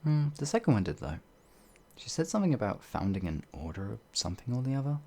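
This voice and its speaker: An actor playing a bewildered, humble werewolf outlaw in an ASMR fantasy roleplay, with halting, old-fashioned speech and a husky voice. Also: medium pitch, sing-song, dark tone. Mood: content, chatty. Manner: halting, flustered, pleading, old-fashioned wording.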